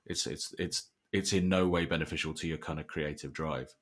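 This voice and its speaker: Male podcast co-host, extremely deep voice, casual conversational delivery. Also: steady tone, normal speed, a bit bright.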